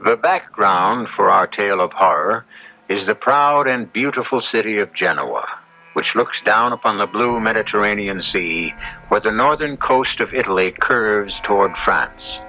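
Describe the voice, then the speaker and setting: A low male voice with wide, lively swings of pitch hosts an old radio mystery. He narrates like a measured, dramatic storyteller from the seventies, on a slightly dull broadcast recording. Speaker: radio drama host and announcer. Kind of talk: 1970s radio mystery introduction, scripted narration. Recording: muffled 1970s radio broadcast, faint music.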